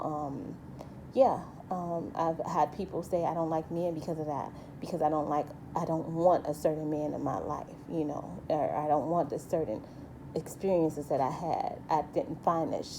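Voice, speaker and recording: A woman's voice: sits low, warm tone, slight background noise, poor audio. A woman with a light voice giving a rambling, earnest personal monologue as a vlogger, full of restarts and asides. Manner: rambling, earnest, many restarts and "you know".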